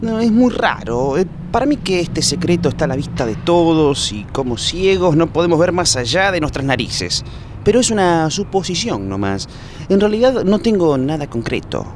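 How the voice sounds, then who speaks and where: A man's voice: fairly high pitch, big pitch swings, normal speed, a little hiss or hum. A man with an Argentine accent playing a hapless, wry detective who narrates his own case in a comedy radio play. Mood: flustered. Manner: wry, swinging from narrator to exasperated.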